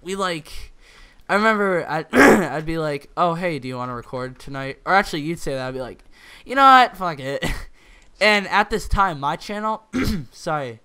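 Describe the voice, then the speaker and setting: A young man with a croaky voice, co-hosting a gaming video and rambling in casual chat with lots of "like" fillers. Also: on the high side, lively intonation, moderate pace, sharp tone. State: croaky, throat-clearing. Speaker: gaming channel co-host. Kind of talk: casual chat over gameplay.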